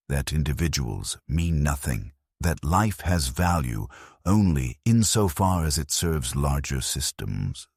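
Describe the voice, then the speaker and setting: A man narrating a dark first-person sci-fi story as a bleak, resigned dead convict soldier, in a grave, measured, deliberate way. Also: very deep voice, husky, no echo, clean studio sound. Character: bleak, resigned ghost of a condemned legionnaire.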